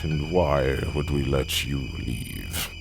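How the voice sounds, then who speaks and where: An actor playing a suave, sinister cannibal gentleman in a horror audio drama, gravelly, theatrical and mockingly polite, savouring each cruel word. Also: extremely low pitch, slow pace, soft background music, heavily acted. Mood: taunting. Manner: theatrical, mock-polite, savouring his words.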